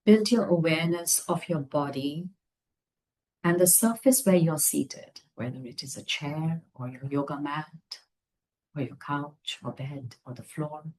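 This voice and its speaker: Low, husky woman guiding meditation. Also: low-quality recording. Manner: gentle, unhurried phrases with long pauses. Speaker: meditation guide. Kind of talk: guided meditation, slow soothing instruction.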